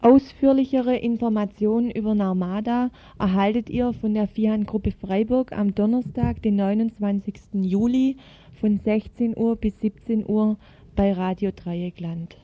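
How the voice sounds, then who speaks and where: A woman's voice: medium pitch, measured pace, no echo. Woman reading a scripted report, muffled recording. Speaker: activist radio contributor reading a report. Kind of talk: scripted news feature narration. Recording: muffled, band-limited radio recording.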